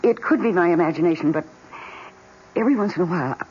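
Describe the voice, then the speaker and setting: A woman's voice: lowish voice, lively intonation, fast talker, slightly rough. An actress in her thirties playing a doting, dreamy woman who talks to her plants, gushing and flustered, in a vintage radio drama. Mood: fluttery. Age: 30s. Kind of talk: 1970s radio drama acting. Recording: dull lo-fi vintage radio broadcast.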